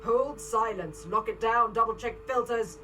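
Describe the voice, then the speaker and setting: A woman's voice: fairly high pitch, a little slow, music in the background, close to an everyday voice. A woman playing a steely, calculating resistance leader in a sci-fi audio drama. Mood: tense command.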